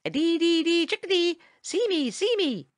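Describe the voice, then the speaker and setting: A woman's voice: fairly low pitch, big pitch swings, normal speed, no room sound, studio quality. A woman voicing a cheery, boastful little male chickadee bird in a storybook reading, bubbly and merry. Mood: merry, singing.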